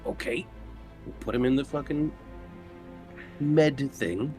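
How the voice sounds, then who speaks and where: A man's voice: medium pitch, slow pace, darkish tone, faint music behind. A man playing in a tabletop roleplaying game, talking casually at the table as a player.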